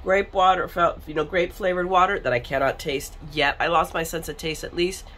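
A middle-aged American woman, sick and low on energy, chatting casually and rambling in a confiding way for a craft vlog. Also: steady tone, brisk, fairly bright tone, a little hiss or hum. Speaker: craft vlogger. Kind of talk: casual chat in an unboxing vlog. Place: indoors at a craft table.

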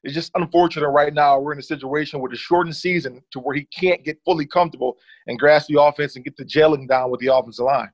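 A man's voice: fairly high pitch, fast talker, no echo. A man in his thirties, a former running back, casually breaking down game film as a guest, often saying "certainly.". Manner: relaxed, leans on "certainly" and "uh". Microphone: video call. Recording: dull dry video-call audio.

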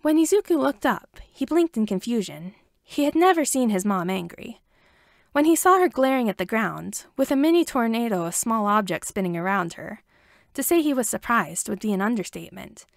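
A woman's voice: fairly high pitch, lively intonation, moderate pace, gravelly, dry recording, studio quality. A woman narrating a scripted story as an amateur audiobook reader.

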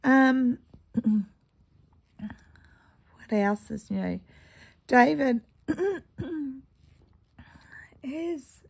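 A croaky-voiced woman with a New Zealand accent, telling a meandering story while she stitches. She talks slowly in a gravelly, slightly high voice, pausing to clear her throat now and then. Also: lively intonation, darkish tone. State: croaky morning voice. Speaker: cross-stitch vlogger. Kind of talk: casual chatty vlog while stitching.

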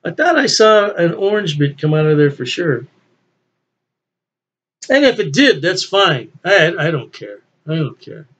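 A man's voice: on the high side, big pitch swings, a little slow, fairly bright tone, breathy edge. An older man chatting casually and folksily as he reviews a beer at his home desk, chuckling to himself now and then. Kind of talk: casual solo video review. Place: home office with beer memorabilia, indoors. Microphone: webcam at desk.

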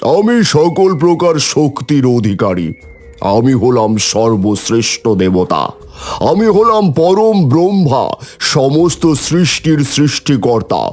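A man's voice: mid-range voice, sing-song, takes it slowly, darkish tone, soft background music. A man voicing a proud, boastful creator god with a pompous, declamatory manner in a mythological cartoon.

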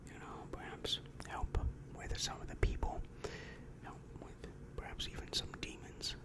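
A young man whispering an ASMR roleplay as a helpful, polite demon counselor, soft, hesitant and reassuring, close on the microphone. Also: unhurried. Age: young adult. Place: small dim room indoors. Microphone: large microphone right in front of the mouth.

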